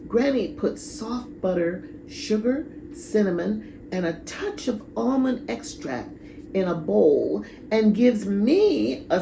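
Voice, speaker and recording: A woman reading a children's story aloud in a dramatic, deliberate way with pauses. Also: medium pitch, warm tone. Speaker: storybook reader. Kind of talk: expressive children's read-aloud. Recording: echoey room, clear background noise.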